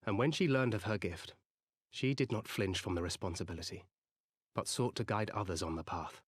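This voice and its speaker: An actor playing a solemn, protective adventurer haunted by regret in a fantasy game, speaking in an earnest, measured way. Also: male voice, fairly low pitch, breathy edge, dry recording, clean studio sound. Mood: tender, wistful. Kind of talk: fantasy video game cutscene acting.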